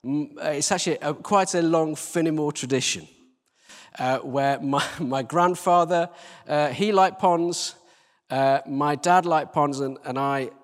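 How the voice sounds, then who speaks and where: A British man giving an informal sermon as a church leader, conversational, self-deprecating and gently humorous. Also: a little high, unhurried. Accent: British English. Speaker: church team leader preaching. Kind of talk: informal sermon with Bible reading. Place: church hall, live service. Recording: live talk in reverberant hall.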